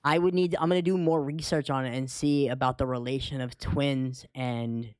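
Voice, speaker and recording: Male panelist, medium pitch, conversational delivery, very dry recording. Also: fairly even intonation, normal speed, a little mellow, clear, resonant tone, no room sound. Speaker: talk show panelist. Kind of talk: casual discussion.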